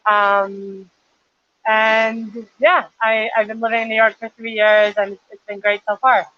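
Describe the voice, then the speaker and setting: A young woman from Chile answers interview questions in a friendly, articulate way, with only a few ums. Her voice is bright and forward. The audio is dull and lo-fi, as on a livestream. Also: on the high side, level tone, a little slow. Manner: friendly and articulate, with light fillers. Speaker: nonprofit intern.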